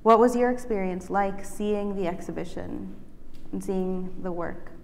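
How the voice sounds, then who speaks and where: A woman's voice: mid-range voice, a little slow, creaky, a little mellow. A woman from Ecuador moderating an onstage panel in a large museum auditorium, asking thoughtful questions with many fillers. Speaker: curator, moderator. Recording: echoey auditorium with faint ambience.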